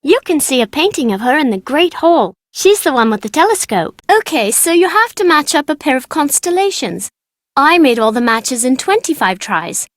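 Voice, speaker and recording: Game child role: smug, moody young girl, high voice, very lively swoops, dry studio sound. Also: moderate pace, no echo. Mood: reluctant, matter-of-fact. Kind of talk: scripted video game dialogue.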